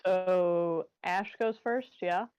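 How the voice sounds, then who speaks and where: A woman's voice: fairly low pitch, extremely slow, highs cut off. A woman chatting casually during a tabletop roleplaying game.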